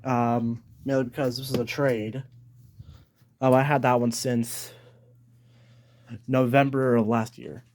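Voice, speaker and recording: A man's voice: mid-range voice, flat delivery, slow pace, long pauses, darkish tone. A man giving a casual, unscripted show-and-tell as a collector, rambling and backtracking as he goes. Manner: rambling, backtracks and repeats himself. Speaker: collector presenting weather radios. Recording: noisy home recording with clicks.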